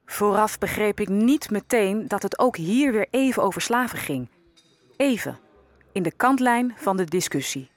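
Actress as young enslaved maid in a 1600s radio play, measured, reflective, quietly resigned narration. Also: female voice, medium pitch, expressive, natural voice. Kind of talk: audio drama first-person narration.

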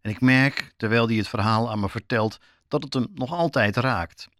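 A man's voice: mid-range voice, moderate pace, a bit bright, no room sound, studio quality. A man hosting as a reporter, reading scripted narration in a storytelling way that addresses the listener directly. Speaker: podcast reporter and host.

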